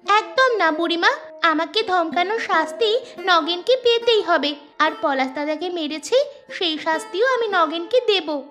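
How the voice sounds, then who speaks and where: A defiant little village girl for a cartoon. The voice is extremely high, indignant and headstrong, with faint music behind it. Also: unhurried, fairly bright tone. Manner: defiant, complaining. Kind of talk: Bengali cartoon story dialogue.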